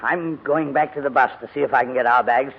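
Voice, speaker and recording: An actor playing a crusty but kindly older gentleman in a formal, hesitant manner, in 1940s radio drama style. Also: mid-range voice, steady tone, quick pace, creaky, breathy edge. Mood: gentle, subdued. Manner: fussy, grumbling, formal, hesitant. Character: crusty, reluctant but kindly old English gentleman guardian. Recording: muffled vintage 1940s radio recording, music.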